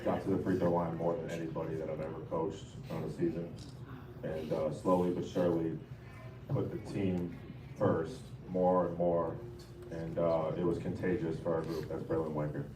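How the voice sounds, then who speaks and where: A man with a very low voice hands out team awards, talking plainly with fillers. He is far from the mic in an echoey hall. Loud noise fills the background the whole time. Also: little pitch movement, moderate pace. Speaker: basketball coach. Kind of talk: awards presentation. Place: large banquet hall.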